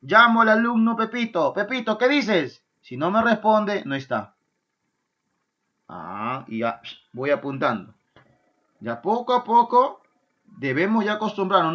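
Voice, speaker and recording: A male math teacher gives a lively class. He keeps checking in with his students. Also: sits high, moderate pace, lo-fi sound. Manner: lively, asks "¿ya?" and "¿correcto?" often, answers his own imagined student questions. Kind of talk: online math lesson.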